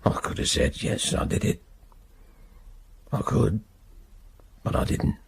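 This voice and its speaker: An actor with a husky, gravelly voice playing an embittered older ex-prisoner in a radio drama, halting and rambling with blunt turns. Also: male voice, lightly acted. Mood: weary, reflective. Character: embittered ageing ex-prisoner protesting his innocence. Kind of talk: naturalistic radio drama acting.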